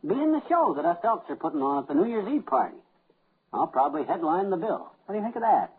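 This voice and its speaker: An actor playing a boastful, folksy middle-aged husband and former vaudeville comic, blustering and rambling in 1950s radio comedy style. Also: male voice, high-pitched, sing-song, fast, husky, heavily acted. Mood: cheerful boasting. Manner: blustering, rambling, self-assured. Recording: muffled, narrow old radio recording.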